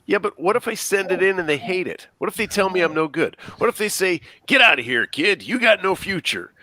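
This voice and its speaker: Man voicing teenage boy rocker, fairly high pitch, bright tense tone, anxious, defensive, table-read drama. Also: expressive, fairly quick. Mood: self-doubting.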